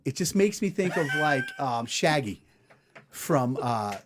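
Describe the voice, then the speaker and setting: A man in his forties hosting a casual comedic podcast chat, telling stories animatedly and doing quick voices. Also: medium pitch, background noise. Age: 40s. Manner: animated storyteller, puts on voices. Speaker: podcast co-host.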